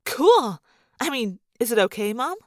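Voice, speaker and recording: A wry young girl from a storybook, acted by a woman, pitched high and flippant. She is sarcastic about any fuss, and the sound is dry and close. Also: very animated, measured pace, no echo. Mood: eager.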